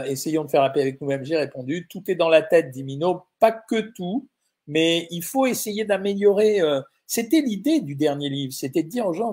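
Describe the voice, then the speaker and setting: A man's voice: fairly high pitch, darkish tone. A middle-aged man, a nutritionist answering viewer questions in a casual live session, reading comments aloud and replying bluntly with many fillers. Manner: informal, blunt, uses tu with viewers, frequent euh.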